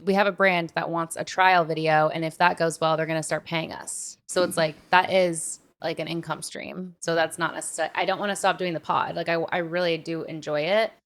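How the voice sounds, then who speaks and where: Female podcast co-host, pitched a little low, informal and slangy, thinking aloud in casual conversation. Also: steady tone, brisk, few pauses, fairly bright tone.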